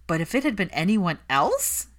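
A woman's voice: fairly low pitch, very animated, moderate pace, fairly bright tone. A woman voicing a bold, sly, teasing young witch in a story reading, pushy and unapologetic. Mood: challenging.

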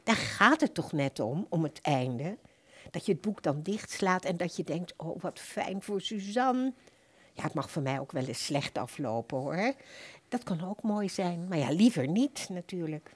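A woman playing a simple, earnest customer in a radio play, plain-spoken, puzzled and gently persistent. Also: fairly low pitch, expressive, unhurried, husky. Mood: earnest, disappointed. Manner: plain-spoken, questioning, insistent.

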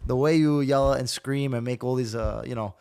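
A man co-hosting a casual sports podcast who tells a story and builds his point slowly. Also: medium pitch, fairly even intonation, gravelly, darkish tone, clean tone. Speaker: podcast co-host. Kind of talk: casual chat.

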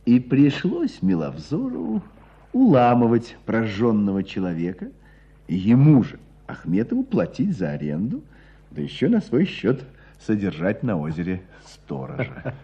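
Husky wry storyteller, radio drama narration, mellow dark tone, dull recording. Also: male voice, medium pitch, wildly swooping pitch, measured pace, exaggerated voice. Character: wry storyteller recounting a provincial dispute.

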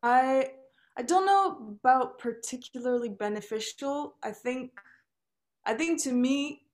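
A young woman, a native Turkish speaker, chatting casually as a musician guest, laughing often between quick asides. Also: high voice. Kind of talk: casual chat.

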